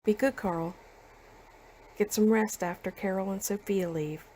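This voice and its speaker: An actress playing a tender, reassuring mother in a motion comic drama, soothing with a creaky edge. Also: medium pitch, a little slow, mellow, slight background noise, lightly acted. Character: tender, reassuring young mother among survivors.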